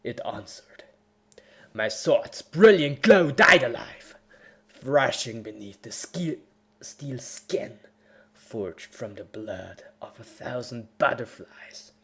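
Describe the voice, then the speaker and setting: A man's voice: mid-range voice, slow, breathy edge. A man giving an over-the-top, bombastic dramatic reading of a story as an amateur narrator. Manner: theatrical, bombastic, swinging from whispers to shouts. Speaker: amateur reader of fan fiction.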